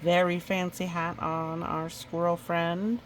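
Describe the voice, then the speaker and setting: A woman reading a picture book aloud in a chatty, expressive way, with casual asides. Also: on the low side, slow, a little hiss or hum. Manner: chatty, expressive storytelling with playful sound effects. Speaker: storybook reader.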